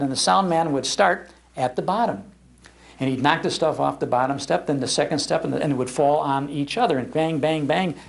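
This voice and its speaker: Older American male speaker, voice a little high, relaying nostalgic anecdotes in a lively, chatty public-talk style. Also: vocal fry. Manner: animated, conversational, chuckles through anecdotes. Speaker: speaker on old-time radio history, broadcaster. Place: public library talk before an audience.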